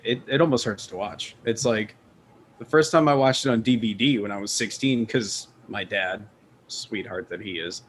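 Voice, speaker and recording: A man's voice: mid-range voice, a little hiss or hum. Laid-back man telling chat stories. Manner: laid-back, says "dude", laughs while telling stories. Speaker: podcast co-host. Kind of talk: casual storytelling in chat.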